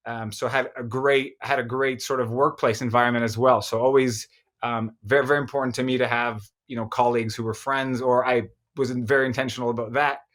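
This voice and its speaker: A man born in Egypt, a filmmaker, talks through his story as a podcast guest. His speech is thoughtful and hesitant, full of small pauses and restarts. He sits in the middle pitch range for a man. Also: little pitch movement, fairly bright tone. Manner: thoughtful, many fillers and restarts. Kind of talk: casual interview conversation.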